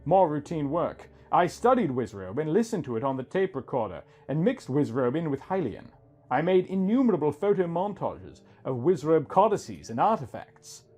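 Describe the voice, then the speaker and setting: A man's voice: a little high, sing-song, normal speed, darkish tone. A man reading a scripted first-person story as its narrator, with music behind him.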